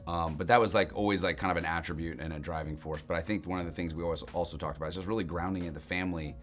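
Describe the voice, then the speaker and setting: A man's voice: low voice, fairly even intonation, rapid-fire, fairly bright tone. A man, an actor, chatting in a laid-back, slangy way during an interview, leaning on a lot of fillers. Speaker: lead actor. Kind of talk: casual interview answers.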